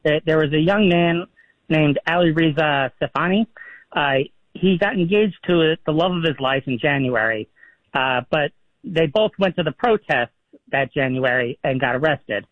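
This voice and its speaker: A man's voice: fairly high pitch, animated, normal speed, no room sound. A man from New York calling a radio show, hesitant and stammering. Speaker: caller. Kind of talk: phone-in call. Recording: muffled phone line.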